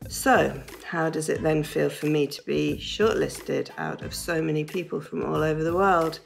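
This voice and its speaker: A British woman, a short story writer, speaking in a reflective, unhurried way. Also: low-pitched, animated, vocal fry. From Britain. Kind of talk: promotional video testimony. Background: soft background music.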